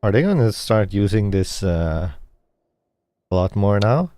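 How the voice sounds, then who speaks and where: A man giving casual, offhand commentary on a game as a streamer, low-key and musing. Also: big pitch swings, a little slow, mellow.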